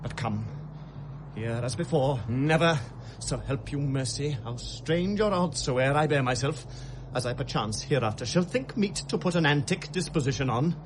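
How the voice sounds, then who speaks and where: An actor playing a feverish, grieving young prince with a British accent, in a classical Shakespearean radio drama, with theatrical pauses. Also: male voice, medium pitch, animated, close to an everyday voice. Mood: urgent, solemn. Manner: volatile, theatrical delivery with rhetorical pauses. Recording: noisy old radio drama recording.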